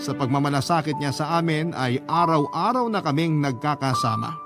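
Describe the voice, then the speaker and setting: A man with a Filipino accent reading a letter as scripted, sentimental radio drama narration in a measured storytelling manner. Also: a little high, animated. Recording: loud music bed under narration. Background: loud music.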